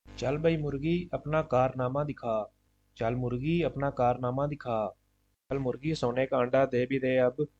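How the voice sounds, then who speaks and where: A man's voice: mid-range voice, fairly even intonation, unhurried, darkish tone, no room sound, lo-fi sound, soft background music, close to an everyday voice. A man voicing a greedy, simple-minded, blustering old farmer in a cartoon moral story. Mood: impatient.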